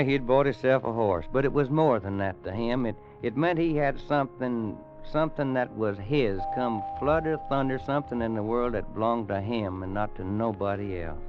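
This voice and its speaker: An actor playing a plain-spoken, kindly rancher in a radio western, folksy, with an American Western drawl. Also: male voice, medium pitch, natural voice. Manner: folksy, plain, hesitant at times. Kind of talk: old-time radio western narration and acting. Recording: dull vintage radio recording with music.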